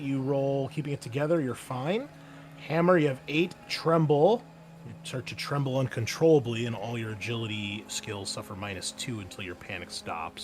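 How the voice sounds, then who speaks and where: A man's voice: lowish voice, a bit bright, a little hiss or hum. A man running a tabletop roleplaying game as game master, amused and easygoing, explaining rules with many fillers.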